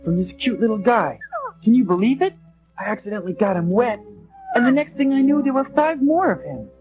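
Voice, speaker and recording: An actor playing an earnest, excitable young man in a storybook drama, eager and emphatic. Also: extremely high pitch, brisk, breathy edge, highs cut off, soft background music, heavily acted. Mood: excited explaining. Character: earnest, excitable young man, determined small-town hero. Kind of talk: read-along storybook drama acting.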